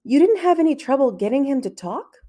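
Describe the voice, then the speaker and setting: A woman's voice: high voice, big pitch swings, fairly quick, dark tone, voice pushed up. A woman reading the part of a polite, hesitant male schoolteacher in a children's storybook.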